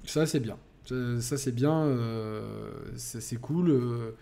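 A man hosts a live gaming podcast, thinking aloud with plenty of hesitations and bursts of enthusiasm. His voice has a middle pitch and a clean recording. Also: slow, creaky, dark tone. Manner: enthusiastic, hesitant with many fillers, thanks donors. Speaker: podcast host. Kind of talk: casual chat.

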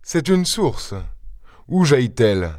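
Mysterious gentleman sleuth for an audiobook, male narrator acting him: composed, terse, self-assured, very dry recording. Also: medium pitch, sing-song, slow pace, dry recording, pitched above the performer's own voice. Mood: calm, probing. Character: calm, enigmatic gentleman stranger, a self-assured investigator.

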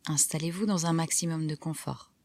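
Female meditation guide, low register, unhurried and soft, calm instructions with pauses, very dry close sound. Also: dry recording. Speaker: yoga nidra guide. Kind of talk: guided relaxation meditation narration.

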